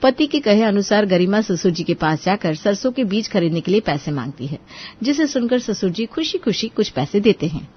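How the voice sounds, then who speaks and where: A woman's voice: medium pitch, sing-song, moderate pace, slightly rough, highs cut off. A woman narrating a moral story in a scripted storytelling style.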